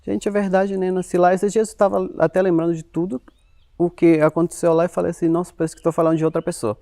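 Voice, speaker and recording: A young man from Uruguay, whose first language is Spanish, telling his life story as an interview guest, speaking Portuguese with a Uruguayan Spanish accent in an animated, expressive way. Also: sits high, quick pace, creaky, no room sound, studio quality. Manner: animated, expressive, quotes himself in lively voices.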